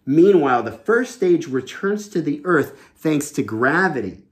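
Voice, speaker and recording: Animated man reading to kids. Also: a little high, unhurried. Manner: expressive, simple explaining to children. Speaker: children's storytime teacher and host. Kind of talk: storybook read-aloud with explanations for kids. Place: small indoor home room.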